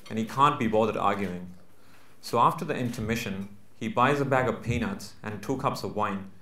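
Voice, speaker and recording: A man's voice: fairly low pitch, fairly bright tone. A man reading a literary short story aloud at a live event, in narrative prose. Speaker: reader at a book launch. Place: live book launch venue. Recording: roomy, echoing live venue recording.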